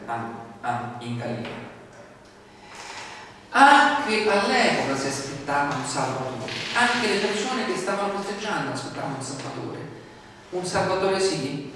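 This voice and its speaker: A middle-aged male pastor preaches in a small indoor church hall, asking rhetorical questions and pausing now and then to find his words. His fairly high voice is picked up by a distant room mic. The sound rings in a roomy echo over a faint hum of ambience. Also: fairly bright tone. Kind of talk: sermon with scripture reading. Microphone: far room mic from standing camera. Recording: echoey hall from far off.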